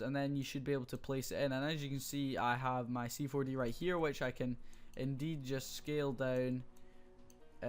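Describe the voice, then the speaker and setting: A young man with a slightly hoarse voice, casually talking through a screen-recorded graphics tutorial with many fillers. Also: medium pitch. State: slightly hoarse, losing his voice.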